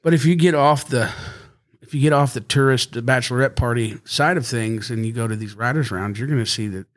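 A man who is a country singer, chatting casually as a podcast guest and rambling easily with frequent laughs. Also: a little fast. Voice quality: high singing voice. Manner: easygoing, rambling, laughs often.

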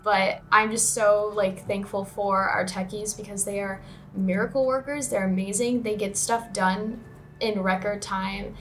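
A young woman, a student actor, talking hesitantly in a casual chat and often pausing on "like" and "um". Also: medium pitch, fairly even intonation, fairly bright tone. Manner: hesitant, full of "like" and "um".

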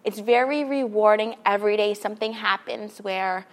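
A woman's voice: mid-range voice, moderate pace. A woman in her thirties from Queens, New York City, a children's librarian chatting as a podcast guest in an upbeat way and laughing often. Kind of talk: casual interview chat.

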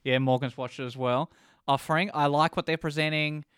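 A man's voice: on the high side, steady tone, a little fast, a bit bright, clear, resonant tone, no room sound, studio quality. A man co-hosting a casual chat show, giving his reviews of films and series. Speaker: podcast co-host reviewing films and shows.

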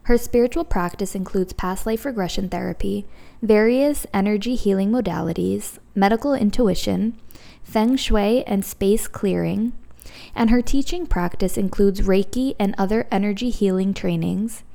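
A woman host with a mellow, fry-edged voice at an ordinary pitch. She reads a prepared introduction in a measured, steady way, moving item by item through a list. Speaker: podcast host. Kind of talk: reading a scripted guest introduction.

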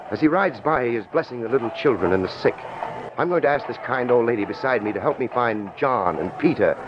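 A man acts an awestruck reporter in a vintage radio drama. His delivery is theatrical and halting, with very lively swings of pitch. The old recording is dull, with clear noise throughout. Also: natural voice. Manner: dramatic, wonder-struck, halting. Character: awestruck male radio reporter transported to ancient Jerusalem.

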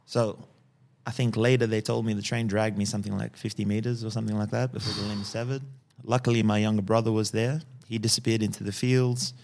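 A man in his early forties from Fiji, calmly telling his life story as a podcast guest and often ending sentences with "yeah?". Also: on the low side, quick pace, creaky. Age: early 40s. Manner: calm, tags sentences with "yeah?" and "right?". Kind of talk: personal storytelling in a podcast interview.

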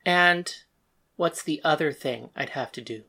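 Woman doing low voiced moody death god, bitter and defiant, telling their own story. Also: measured pace, pushed and bright, slightly rough, full character voice. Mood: probing. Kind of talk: first-person dramatic narration and dialogue.

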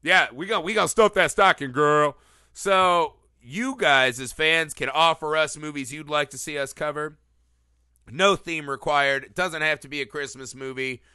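A man hosting a casual film podcast, animated and teasing, a little sniffly from a cold. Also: a little high, moderate pace, smooth, dry recording, clean studio sound. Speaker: podcast host. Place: Los Angeles area.

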